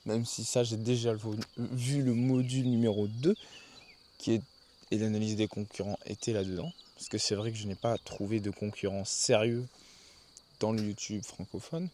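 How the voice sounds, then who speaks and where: Man, casual video diary, thinks out loud, frequent restarts, recorded outdoors in the countryside, faint insect noise. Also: lowish voice, fairly even intonation, moderate pace. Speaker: video journal keeper.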